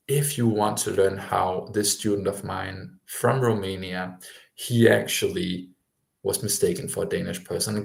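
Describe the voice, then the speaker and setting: A man's voice: lowish voice, gravelly, reverberant. A man coaching language learners in a livestream, talking with rambling enthusiasm and lots of fillers. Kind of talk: livestream talk to viewers.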